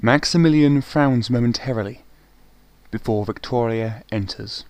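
Man as a slow, portentous gothic narrator. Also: medium pitch, a little mellow, no room sound, lightly acted. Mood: ominous, portentous. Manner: portentous, theatrical. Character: ominous, florid male narrator of a gothic horror tale. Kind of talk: gothic radio play narration.

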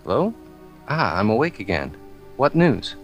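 A man's voice: fairly low pitch, sing-song, measured pace, lo-fi sound. A man acting a courtly, loving undead patchwork man in an amateur radio play, speaking in a formal, theatrical way. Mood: pleased, groggy. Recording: music behind the voice.

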